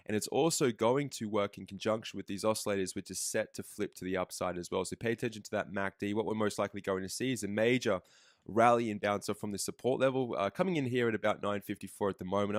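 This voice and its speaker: Male trading educator, sick with the flu, fast and fairly low-pitched, self-correcting as he talks. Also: no room sound, studio quality. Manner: fast, steady walkthrough with fillers and self-corrections. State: has the flu, losing his voice. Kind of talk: screen-recorded market analysis.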